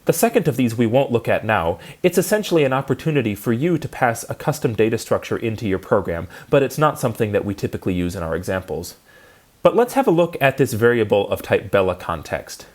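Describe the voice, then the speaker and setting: A man giving a prepared technical lecture, explaining clearly and methodically step by step. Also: mid-range voice, sing-song, quick pace, darkish tone.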